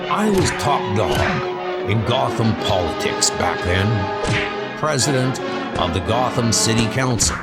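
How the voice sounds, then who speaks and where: A man voicing a blunt, streetwise, haunted gangster boss in a comic dub, with music behind. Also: fairly low pitch. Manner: blunt, streetwise, increasingly frantic. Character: agitated, haunted male gangster political boss. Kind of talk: amateur comic dub acting.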